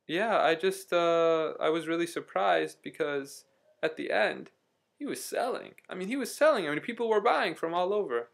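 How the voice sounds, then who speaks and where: A man's voice: fairly high pitch, creaky. A man talking casually in a video diary, rambling through a story with lots of ums and restarts. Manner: rambling, chatty, many fillers and restarts. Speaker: vlogger. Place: living in Istanbul.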